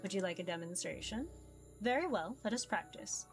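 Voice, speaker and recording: A woman's voice: medium pitch, lively intonation, music in the background, pitched below the performer's own voice, lightly acted. Woman voicing a mysterious, formal ancient being. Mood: formal, guiding. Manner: formal, measured, archaic phrasing. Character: mysterious ancient narrator being, formal, amused, curious about humans. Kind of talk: visual novel character read-aloud.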